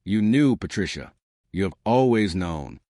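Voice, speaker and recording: A resolute woman laying out evidence against her abuser in a measured, accusing tone, read by a man. The voice sits low but moves expressively. It is recorded dry and close in a storybook reading. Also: animated, dark tone, dry recording. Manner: measured, accusing, deliberate delivery. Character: steely Nigerian woman exposing her abuser.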